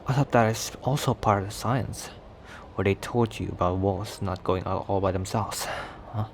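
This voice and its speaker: A man playing a cocky, protective young werewolf stranger in an intimate ASMR roleplay, talking in a teasing, halting conversational way. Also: lowish voice, slight background noise, natural voice. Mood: cautioning, earnest. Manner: teasing, breathy sighs and chuckles, halting conversational delivery. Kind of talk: ASMR roleplay acting, intimate one-sided dialogue.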